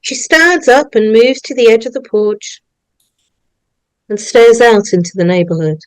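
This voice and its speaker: A woman's voice: a little high, animated, unhurried, smooth, no room sound, poor audio. A woman narrator reading screenplay stage directions aloud.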